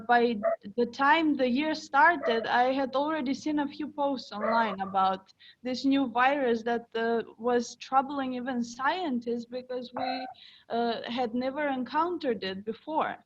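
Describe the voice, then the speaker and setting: A woman's voice: on the high side, slight background noise. Articulate young woman on a video call. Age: young adult. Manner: articulate, measured. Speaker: student participant. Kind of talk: answering a seminar question. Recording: dull lo-fi video call audio.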